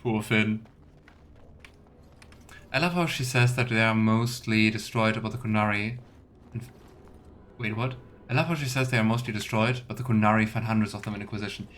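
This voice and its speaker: A man's voice: fairly low pitch, fairly even intonation, normal speed, pauses often, fairly bright tone, slight background noise. Man musing through game commentary. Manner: musing, thinking aloud. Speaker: video game streamer. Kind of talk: casual let's play commentary.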